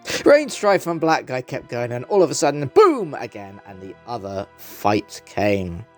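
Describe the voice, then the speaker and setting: Male narrator, mid-pitched with animated intonation, theatrical and mock-earnest, reading a story over soft music. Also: measured pace. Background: background music.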